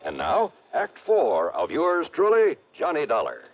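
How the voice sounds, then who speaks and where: Animated American radio pitchman, higher-voiced man. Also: a little slow. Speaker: radio announcer. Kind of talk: 1950s radio commercial announcing. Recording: muffled vintage radio, music bed.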